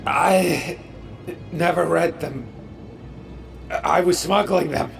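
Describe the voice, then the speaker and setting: A man's voice: fairly high pitch, slow pace, creaky, soft background music, voice pushed up, full character voice. A man voicing a wounded, anxious male pirate who is held captive and stammers. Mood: nervous.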